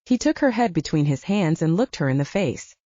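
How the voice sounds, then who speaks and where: A woman's voice: low voice, big pitch swings, fairly quick, dark tone, dry recording, lo-fi sound. A woman reading literary fiction as a scripted audiobook narration, moving between story and dialogue.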